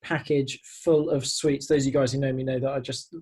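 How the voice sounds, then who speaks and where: A man's voice: mid-range voice, little pitch movement, a little fast, a little mellow, breathy edge. A man co-hosting a podcast in a casual, conversational style. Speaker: podcast co-host. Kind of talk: casual chat. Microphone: video call.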